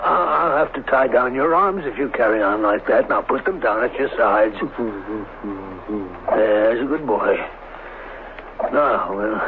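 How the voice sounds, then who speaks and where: A man's voice: mid-range voice, phone-quality sound. An actor in an old radio drama playing a mad scientist, condescending and coldly doting toward his captive subject, on a noisy old broadcast. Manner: condescending, coaxing, measured.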